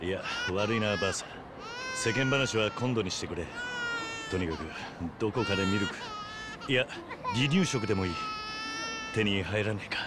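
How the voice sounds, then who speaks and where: An actor playing a stern, tough, tired middle-aged man with a gruff, terse manner in a video game. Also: lowish voice, moderate pace, long pauses, very noisy, close to an everyday voice. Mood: troubled, restrained. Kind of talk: dramatic video game voice acting.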